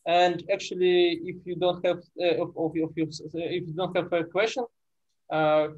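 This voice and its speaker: Halting man tutoring over a video call. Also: high-pitched, little pitch movement, a little mellow, lo-fi sound. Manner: halting, many fillers and restarts. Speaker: workshop tutor. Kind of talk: online class instruction.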